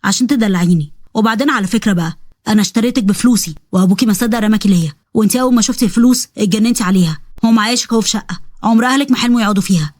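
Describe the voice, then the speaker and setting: A woman's voice: mid-range voice, very fast, darkish tone, no room sound, clean studio sound, natural voice. A woman with an Egyptian accent voicing a cruel, domineering husband who talks in an insulting, bossy way. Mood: contemptuous.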